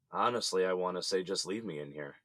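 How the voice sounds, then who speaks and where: A man's voice: deep voice, bright, forceful tone, no echo, clean studio sound, heavily acted. An actor playing a weary, blunt middle-aged businessman held in jail, in a naturalistic audio drama. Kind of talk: naturalistic scripted drama dialogue.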